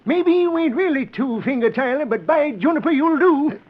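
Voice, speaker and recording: An actor playing a greedy, threatening bounty hunter in a 1940s radio western, with a folksy American Western drawl and a blustering, scheming manner. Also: male voice, extremely high pitch, expressive, moderate pace. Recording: dull lo-fi 1940s radio recording.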